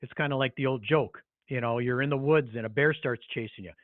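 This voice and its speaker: A man's voice: mid-range voice, fairly quick, dry recording. Man, tinny dry phone line, informal business presenter, hesitant, rambling, conversational. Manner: hesitant, rambling, conversational with frequent "you know". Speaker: HR conference call presenter. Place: remote conference call.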